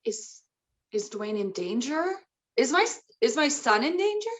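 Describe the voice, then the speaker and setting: Worried mother, woman reading, high pitch with big swings, pressing, scripted drama. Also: very animated, measured pace. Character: anxious, pressing mother of a teenage boy. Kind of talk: scripted drama table read.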